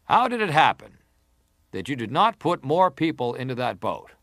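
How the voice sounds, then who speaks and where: A man's mid-pitched voice with very lively intonation, recorded dry. a probing, insistent senator at a dramatized hearing. He presses each question in formal, interrogating phrases. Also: normal speed, dry recording. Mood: pressing. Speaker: actor reading testimony. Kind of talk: dramatized reading of hearing testimony.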